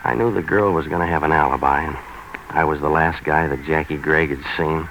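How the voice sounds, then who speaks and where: Very deep, fast hard-boiled detective. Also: male voice, gravelly, heavily acted. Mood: deadpan, brooding. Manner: deadpan, sardonic, clipped wisecracks. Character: hard-boiled wisecracking private operator narrating his case. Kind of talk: 1940s radio noir acting and narration. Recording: noisy vintage 1940s radio recording.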